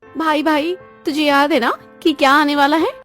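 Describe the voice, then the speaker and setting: Snooty rich cartoon girl done by a woman, high voice, braggy n taunting, over the top, bit of music behind. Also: fairly even intonation, moderate pace, a bit bright. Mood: excited, pestering. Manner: taunting, showy, exaggerated. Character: spoiled haughty rich young woman, sneering and boastful. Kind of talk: cartoon moral-story dialogue in Hindi.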